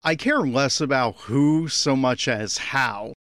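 A man's voice: medium pitch, takes it slowly, a bit bright, no room sound, studio quality. A man giving an opinionated, critical audio commentary on an anime.

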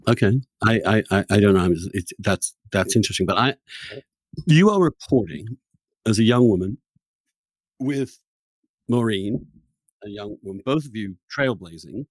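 A man's voice: lowish voice, lively intonation, normal speed, pauses often, dry recording, poor audio. A middle-aged or older man with an English accent hosts a conversational interview, often repeating words, restarting and wandering off his point. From England. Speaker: podcast host and interviewer.

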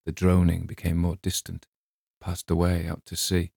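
A man's voice: sits low, completely flat delivery, normal speed, vocal fry, mellow, breathy edge, no room sound. A man reading scripted story narration as an audiobook narrator, recorded close.

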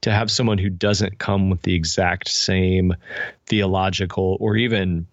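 A middle-aged man with a lowish voice explains his ideas in a conversational interview. He is reflective and measured, breaking phrases with small pauses. The sound is dry and a little dull. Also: steady tone, no room sound. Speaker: podcast guest, author and pastor. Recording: dull, slightly muffled audio.

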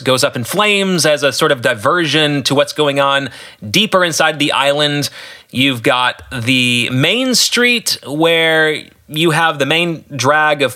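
A man's voice: a little high, bright, forceful tone. A man with an American accent giving conversational commentary on his own story as a podcast host and author, with emphatic, storyteller-like phrasing. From West Michigan, United States.

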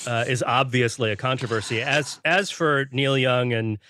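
A man's voice: mid-range voice, fairly even intonation, moderate pace, sharp tone, no room sound, clean studio sound. A man on a podcast panel, arguing a point thoughtfully and often repeating words as he builds his sentences. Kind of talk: casual panel discussion.

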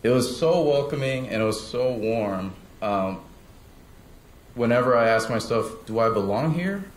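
A man's voice: medium pitch, lively intonation, measured pace. A young man born in South Korea, telling his personal testimony at church in a hesitant way with many fillers and restarts. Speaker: church member giving testimony. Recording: roomy sound with faint ambience.

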